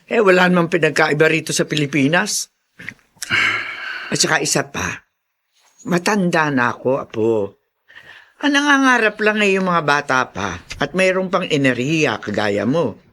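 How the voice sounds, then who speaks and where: Old filipino lola in a radio drama, sharp voice, scolds and brushes you off, pitch all over. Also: female voice, lively intonation, normal speed, pauses often. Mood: dismissive, weary. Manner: scolding, dismissive, matter-of-fact. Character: stubborn, no-nonsense old grandmother who sews at night.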